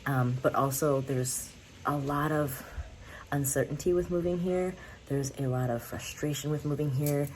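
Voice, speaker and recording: Young american woman vlogging, real low mellow voice, chatty and laughs a lot. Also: moderate pace, slight background noise, rough recording. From United States. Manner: candid, rambling, laughs often. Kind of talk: casual talk to camera.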